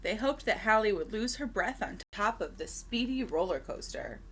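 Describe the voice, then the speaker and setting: A woman reading a children's storybook aloud expressively, with deliberate dramatic pauses and emphasis. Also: medium pitch, big pitch swings, fairly bright tone, a little hiss or hum.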